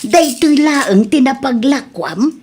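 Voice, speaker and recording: A woman's voice: high voice, animated, takes it slowly, darkish tone, a little hiss or hum. A woman with a Filipino Ilocano accent playing a poor older wife who sells scrap, in a radio comedy-drama. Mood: exasperated. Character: older poor wife selling scrap, complaining to her husband.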